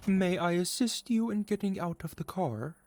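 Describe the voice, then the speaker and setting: A man playing a gentle, caring young boyfriend in an intimate ASMR roleplay, speaking softly and sincerely to an anxious partner. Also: sits high, animated, a little hiss or hum. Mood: tender, teasing. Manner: soft, sincere, tender, halting when worried.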